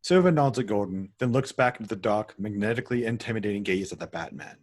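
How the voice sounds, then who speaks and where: A man with a husky, fairly low voice narrates a script read-through. He reads stage directions matter-of-factly and sometimes trips on a word. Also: lively intonation, fast talker, a little mellow.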